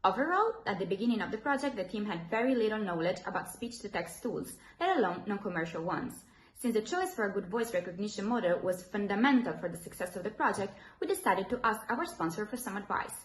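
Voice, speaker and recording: A woman's voice: mid-range voice, animated, fast. A young woman, a student presenter, giving a scripted technical presentation. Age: young adult. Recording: roomy echo with faint hiss.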